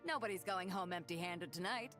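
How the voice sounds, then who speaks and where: A woman's voice: lowish voice, a little fast, a bit bright, faint music behind, lightly acted. A woman playing a bold, breezy, confident ship captain in a video game, casual and hearty.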